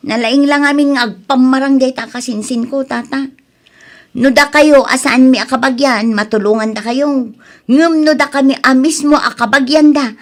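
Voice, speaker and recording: An actress playing a prying, resentful village gossip in a radio drama, complaining and insinuating with an Ilocano accent. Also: female voice, high voice, steady tone, normal speed. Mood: resentful, mocking.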